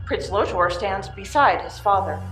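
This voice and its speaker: A woman's voice: mid-range voice, animated, moderate pace, fairly bright tone. A woman reading scripted narration for a radio play. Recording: echoey live room, faint music.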